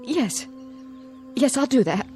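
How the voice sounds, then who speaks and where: A nervous, placating aunt from a radio drama. Her voice is high and very changeable in pitch, drawn out slowly with a fluttery edge, over music. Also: big pitch swings, warm tone, heavily acted. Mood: anxious, placating. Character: anxious, eager-to-please aunt, frightened of a child. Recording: music under the voice.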